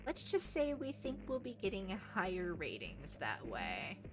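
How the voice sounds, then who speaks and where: A woman's voice: medium pitch, wildly swooping pitch, a little slow, music in the background. Woman acting a smug, showy reality show host.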